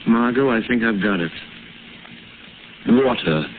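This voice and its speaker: An actor playing a calm, reflective gentleman detective working out a crime in a vintage radio drama. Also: medium pitch, natural voice. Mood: thoughtful. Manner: measured, reflective. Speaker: amateur detective. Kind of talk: 1940s radio acting. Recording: lo-fi old radio broadcast, hiss.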